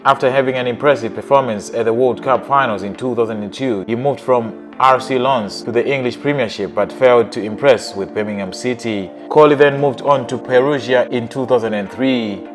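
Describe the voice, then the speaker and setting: A man narrating a scripted countdown of football players in an even, list-like reading. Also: mid-range voice, a little fast, few pauses, over music.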